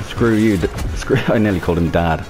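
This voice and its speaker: A man's voice: low-pitched, a little fast. A man hosting a gaming video with casual, informal, jokey commentary. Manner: informal, jokey, mildly sweary. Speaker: gaming YouTuber, host. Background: game music.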